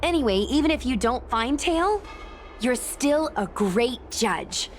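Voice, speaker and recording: A woman's voice: high-pitched, animated, unhurried, faint music behind. A woman voicing a straightforward, supportive young female squad member in a video game. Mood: reflective.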